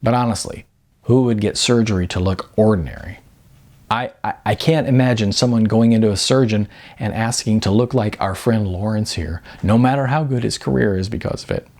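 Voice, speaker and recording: A man voicing a blunt, brisk female modeling agent in an audiobook, emphatic and patronizing, with chatty endearments. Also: fairly low pitch, a little mellow. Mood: wry, candid. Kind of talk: audiobook character dialogue.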